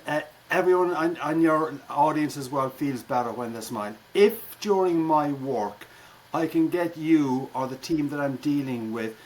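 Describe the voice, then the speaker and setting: A man's voice: mid-range voice, rough recording. A middle-aged or older man with an Irish accent, a keynote speaker answering conversationally in an interview with wry, jokey turns of phrase. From Ireland.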